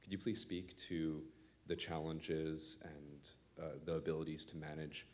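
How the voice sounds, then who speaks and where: A man in his twenties speaks as a graduate student in an audience. His voice is very deep. He puts a prepared question to the speaker. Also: fairly even intonation, unhurried, darkish tone. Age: 20s.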